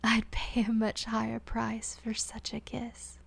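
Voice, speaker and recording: A woman voicing a young, lovesick servant girl who talks in a trembling, breathy whisper. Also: fairly high pitch, completely flat delivery, measured pace, dry recording, full character voice. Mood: dreamy.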